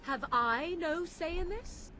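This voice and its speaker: A proud young witch from a fantasy game, speaks in arch, formal phrases with a biting edge. Her pitch moves expressively. Noticeable ambient noise runs behind her voice. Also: animated, slow, full character voice. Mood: protesting. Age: young adult. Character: haughty young witch, sarcastic, proud and aloof. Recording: game audio over noisy outdoor ambience.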